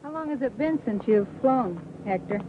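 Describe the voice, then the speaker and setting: Thin, narrow-band film audio with a loud aircraft drone carries a high female voice. She is a flight instructor, calmly guiding a pilot with plain, conversational directions. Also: moderate pace, noisy background. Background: loud engine drone.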